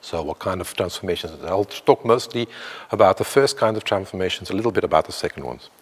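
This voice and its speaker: Low-voiced Belgian man lecturing. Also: expressive, fast, breathy edge. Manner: tentative, self-deprecating, many fillers and restarts. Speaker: academic speaker. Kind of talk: conference talk. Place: lecture hall. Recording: reverberant hall.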